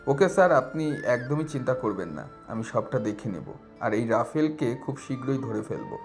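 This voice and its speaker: A man's voice: mid-range voice, very animated, normal speed, over music. A man playing a deferential, dutiful henchman reporting to his boss in an audio story. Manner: deferential, reassuring.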